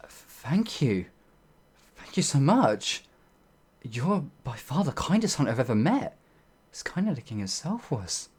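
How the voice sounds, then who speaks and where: An actor playing a bewildered, humble werewolf outlaw in an ASMR fantasy roleplay, with halting, old-fashioned speech and a husky voice. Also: medium pitch, big pitch swings, a little mellow. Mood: grateful. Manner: halting, flustered, pleading, old-fashioned wording.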